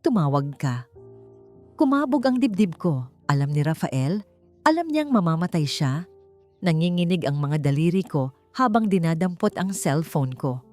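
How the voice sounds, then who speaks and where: A woman narrating a dramatic first-person audiobook story in an emotive, deliberate manner. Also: lowish voice, sing-song, darkish tone, faint music behind.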